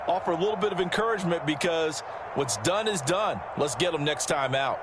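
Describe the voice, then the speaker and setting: A man's voice: on the high side, lively intonation, a little fast, background noise, natural voice. A man playing an American football color analyst, explaining the game in a folksy, emphatic way. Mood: explanatory.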